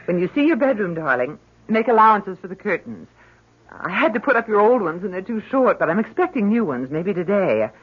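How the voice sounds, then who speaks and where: Through a dull, lo-fi radio recording comes a middle-aged mother in a radio drama. Her high voice is slightly husky and swings expressively as she fusses, chats and worries lovingly over her grown daughter. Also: very animated, a little fast. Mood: bright, fussing. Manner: chatty, solicitous. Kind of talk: old-time radio drama acting.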